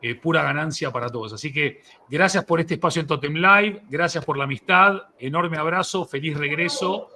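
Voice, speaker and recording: A man's voice: on the high side, moderate pace, hardly any pauses, bright, forceful tone. A man hosting a casual, unscripted interview, effusive and enthusiastic toward his guest. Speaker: host of a live interview show.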